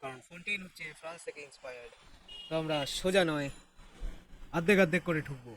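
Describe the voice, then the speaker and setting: Very fast-talking Bengali-accented male gaming host. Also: fairly high pitch, a bit bright, a little hiss or hum, rough recording. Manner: informal, addresses viewers directly. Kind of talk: casual gameplay commentary. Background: game music.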